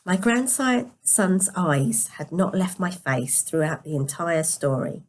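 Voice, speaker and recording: A woman narrates a novel in a slightly low register. Her voice is quiet and tired, as if sleepy. She reads carefully, now and then tripping on a word and picking it up again. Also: animated, slow. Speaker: storyteller reading a novel aloud. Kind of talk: scripted story narration.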